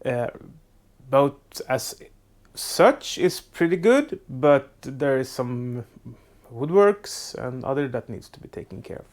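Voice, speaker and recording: Slow, halting Swedish-accented man. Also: mid-range voice, big pitch swings, darkish tone. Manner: halting, many filler uhs and restarts. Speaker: boat restoration vlogger. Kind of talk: casual how-to narration. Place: makeshift boat workshop.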